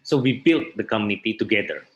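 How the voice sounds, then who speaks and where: A man with an Indonesian accent explaining things in an interview, halting and searching for his words. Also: on the low side, animated, dull audio. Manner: halting, searching for words in non-native English. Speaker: interview guest, theatre researcher and festival director.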